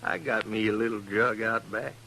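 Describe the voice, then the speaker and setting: A man's voice: on the low side, steady tone, moderate pace, bright, forceful tone. An actor playing a sly, sociable, hard-drinking hillbilly man with a rustic American accent in an old-time radio western. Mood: folksy, sociable. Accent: rural American hillbilly. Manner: folksy, rustic backwoods talk.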